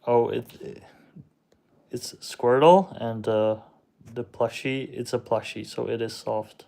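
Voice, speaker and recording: A young man whose first language is Czech, hosting a casual livestream and answering chat in a slow, hesitant, shrugging way. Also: mid-range voice, fairly even intonation, vocal fry, mellow. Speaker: livestream host reading chat.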